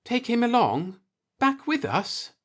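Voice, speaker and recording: A man's voice: very high-pitched, wildly swooping pitch, slow, fairly bright tone, voice pushed up, full character voice. A man voicing a cautious, frightened woman from another galaxy in a science fiction audiobook. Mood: alarmed, hushed.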